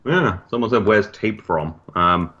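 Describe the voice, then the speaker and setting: Young male streamer with a West Midlands accent, very low voice, wry and laid-back, slightly muffled audio. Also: expressive, unhurried, pushed and bright. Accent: West Midlands English. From West Midlands, England. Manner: laid-back, wry, reads chat aloud. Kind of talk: casual livestream chat. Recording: dull lo-fi sound.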